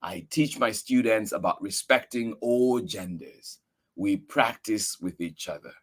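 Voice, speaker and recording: A man reading a picture book aloud for children, expressive and earnest, with emphatic delivery. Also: fairly low pitch, a little slow.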